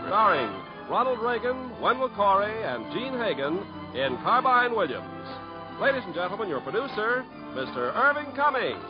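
A man's voice: sits high, very animated, normal speed. A man announcing a 1950s radio show in a formal, proclaiming style. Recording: muffled 1950s radio recording. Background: loud music.

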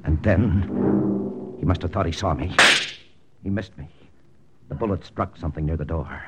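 A man's voice: sits low, moderate pace, muffled recording, loud music behind the voice, close to an everyday voice. A man playing a hard-boiled American spy lieutenant, narrating terse in first person in a 1940s radio drama. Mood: tense. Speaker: narrator and lead actor. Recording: old radio recording with hiss.

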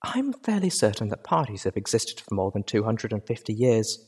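A man voicing a polite, motherly female pony in a storybook reading, soft and courteous. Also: mid-range voice, huge pitch swings, normal speed, slightly rough. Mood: murmured doubt. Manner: soft, courteous, gently apologetic. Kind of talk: audiobook character voice.

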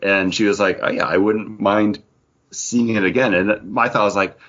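Low husky-voiced man chatting on a podcast. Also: highs cut off. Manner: animated, joking, quick asides. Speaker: podcast host. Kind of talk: casual podcast chat.

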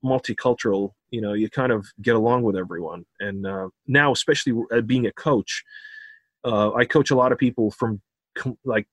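A man with an American accent, a bodybuilding coach talking as a podcast guest in a relaxed way, laughing often and repeating words. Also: mid-range voice, normal speed, dry recording. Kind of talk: casual podcast interview.

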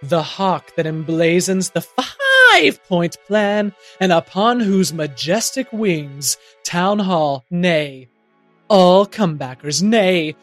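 A man's voice: sits high, fairly bright tone, full character voice. A man playing a pompous, theatrical narrator performing a homemade comic audio play. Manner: grandiose, theatrical. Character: pompous, melodramatic play narrator addressing his townsfolk. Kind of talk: comic amateur audio drama.

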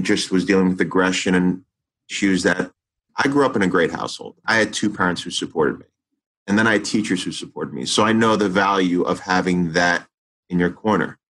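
Man, forties, very low voice; an earnest teacher thinking aloud in conversation, full of restarts. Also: a bit bright, rough recording. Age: 40s. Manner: earnest, reflective, many restarts and fillers. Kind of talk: interview conversation.

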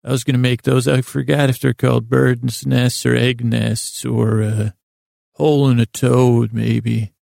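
Man doing a creaky, spaced-out chill neighbour guy. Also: medium pitch, little pitch movement, a little slow, darkish tone, no echo, studio quality. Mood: vague, absent-minded. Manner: chill, vague, meandering. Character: spaced-out laid-back male beach-bum neighbour, vague and forgetful.

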